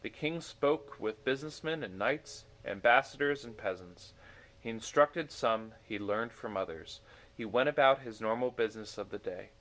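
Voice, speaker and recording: A man reading a bedtime story aloud in an expressive storytelling style. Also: mid-range voice, moderate pace, poor audio. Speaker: story reader.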